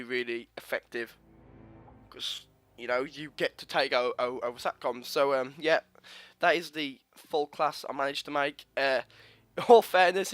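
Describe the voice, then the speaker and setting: A man's voice: mid-range voice, a bit bright, no echo. A young man with a slightly congested sore throat, casually talking through a game class setup with lots of fillers and restarts. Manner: rambling, many fillers and restarts. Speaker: gaming channel host. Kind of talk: casual gameplay commentary over menus.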